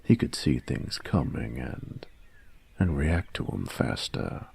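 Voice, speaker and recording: Man playing a very deep, gravelly young bully. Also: big pitch swings, darkish tone, full character voice. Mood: casual, curious. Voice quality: gravelly, husky. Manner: slangy, halting, feigned indifference. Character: gruff young male bully, secretly curious about nerdy games. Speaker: voice actor in audio roleplay. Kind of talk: intimate one-sided audio roleplay, casual dialogue.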